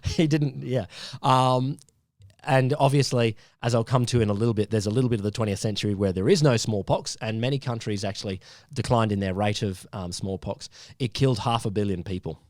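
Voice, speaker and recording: A man's voice: fairly low pitch, fairly quick, a little mellow, no echo, clean studio sound. A man co-hosting a casual science podcast, explaining a topic with frequent ums and restarts. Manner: hesitant, lots of ums and restarts, laughs easily.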